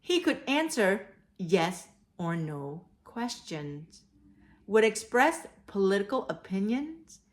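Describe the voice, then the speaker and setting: A woman hosting a read-aloud for children, telling stories and giving advice in a deliberate way. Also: medium pitch, big pitch swings. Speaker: host of a children's read-aloud storytelling show. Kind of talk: storytelling and advice addressed to children.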